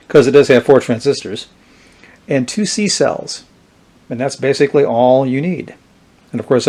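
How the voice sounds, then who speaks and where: Casual, halting man demonstrating old gear. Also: mid-range voice. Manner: halting, unhurried, thinking aloud. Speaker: vintage electronics collector presenting his gear. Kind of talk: casual product demonstration vlog.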